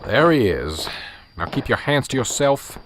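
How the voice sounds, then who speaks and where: A man's voice: mid-range voice, sing-song, measured pace, a bit bright, slight background noise. A man playing a police sergeant in a radio drama, dry and matter-of-fact. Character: no-nonsense adult male police sergeant. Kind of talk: amateur radio drama acting. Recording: radio drama with music underneath.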